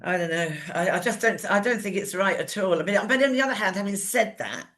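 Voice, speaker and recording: A woman's voice: medium pitch, animated, fast, fairly bright tone. A woman co-hosting a talk show, chatting casually and giving opinions, often repeating words and posing questions as she works out her thoughts.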